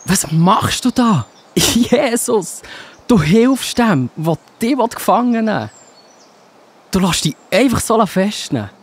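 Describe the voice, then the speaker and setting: Actor as hot-headed, high-pitched disciple. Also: male voice, big pitch swings, takes it slowly, breathy edge, full character voice. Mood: baffled, indignant. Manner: agitated, exclamatory delivery. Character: hot-headed loyal disciple Peter, alarmed and indignant man. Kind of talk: children's Bible audio drama.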